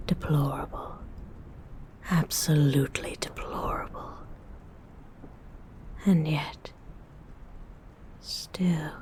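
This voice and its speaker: A woman's voice: low voice, darkish tone. A woman voicing a predatory, possessive female vampire in a whispered ASMR role-play, with haughty, formal phrasing. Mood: disdainful. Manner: whispered, slow and lingering.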